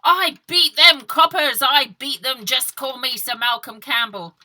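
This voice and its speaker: Woman playing a loud, cocky boy driver. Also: high-pitched, unhurried, dry recording, pitched above the performer's own voice, heavily acted. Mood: triumphant, boastful. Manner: boastful, exclaiming. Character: cocky, triumphant working-class boy getaway driver.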